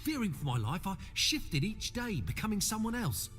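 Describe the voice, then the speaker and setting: High-pitched male shapeshifter, anxious, hesitant, extremely animated, long reverb, video game. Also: normal speed. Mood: rueful. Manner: fretful, questioning. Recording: reverberant, with music behind.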